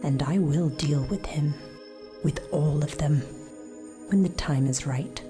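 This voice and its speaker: Low-voiced, brooding Victorian avenger. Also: female voice, music in the background. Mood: brooding, vengeful. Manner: grave, deliberate, menacing. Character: Sherlock Holmes's dark, vengeful older sister, brooding Victorian detective. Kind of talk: radio drama monologue.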